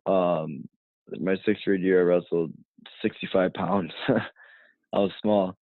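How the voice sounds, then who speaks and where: Low flat-voiced young man on a phone line. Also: slow pace, dry recording. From United States. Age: 20s. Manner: rambling, full of "you know" fillers. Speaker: guest, fighter. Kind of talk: casual podcast interview.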